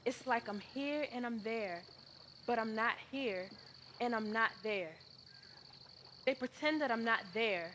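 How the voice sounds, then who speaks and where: A woman acting out a hurt but defiant woman who feels unseen, in a stage-style monologue. Her voice sits a little high and moves very slowly, with deliberate emphasis and pleading pauses. Faint outdoor noise sits behind her. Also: fairly bright tone. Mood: hurt, insistent. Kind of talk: dramatic spoken monologue performance.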